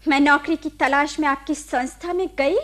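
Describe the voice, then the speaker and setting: A very high female voice plays a young nurse in a radio play. She is proud and bitter. Her delivery is indignant and cutting, full of sarcasm and accusation. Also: steady tone, normal speed, fairly bright tone. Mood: bitter, accusing. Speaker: radio drama actor. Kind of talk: Hindi radio play acting.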